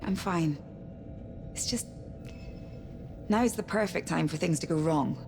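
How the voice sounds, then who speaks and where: An actress playing a wry, questioning spaceship crew member in a sci-fi game, casual in manner. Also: female voice, lowish voice, measured pace, vocal fry, darkish tone, soft background music. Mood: wry, uneasy. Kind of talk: video game voice acting, sci-fi horror.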